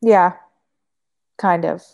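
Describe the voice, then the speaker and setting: Woman in her late 20s, slightly lower voice, laughs a lot and gets all animated telling stories. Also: very slow, vocal fry. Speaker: guest food blogger. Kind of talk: casual chat.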